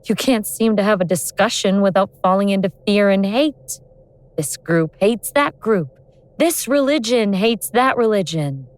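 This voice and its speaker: A woman playing an awakened ancient goddess of vengeance in human form, speaking in a wry, deliberate, sardonic way in an audio drama. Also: mid-range voice, lively intonation, dry recording, lightly acted. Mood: bitter, scornful. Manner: sardonic, world-weary, deliberate explaining.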